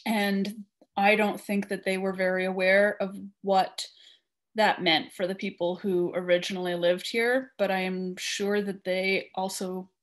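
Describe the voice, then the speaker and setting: A woman's voice: medium pitch, little pitch movement, a little slow. A woman with a Canadian accent, a guest speaker at an online meeting, reading a prepared personal statement over a video call.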